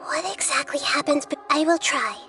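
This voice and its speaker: A woman playing a wise, gentle, childlike goddess in a video game, speaking in a measured, earnest, philosophical way. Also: high-pitched, natural voice. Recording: game music behind the voice.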